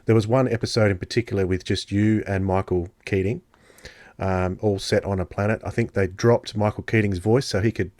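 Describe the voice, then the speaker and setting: A man's voice: fairly low pitch, fairly even intonation, a little fast, darkish tone, no room sound. A man co-hosting a podcast, chatting casually in a hesitant, appreciative way. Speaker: podcast co-host. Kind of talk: casual chat, reviewing.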